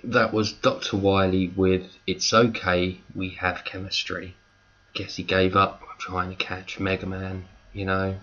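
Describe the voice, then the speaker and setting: A man's voice: low-pitched, little pitch movement, measured pace, sharp tone. A man hosting a podcast with casual, chatty, wry commentary. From United Kingdom. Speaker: host, magazine editor-in-chief. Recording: dull lo-fi home recording, faint noise.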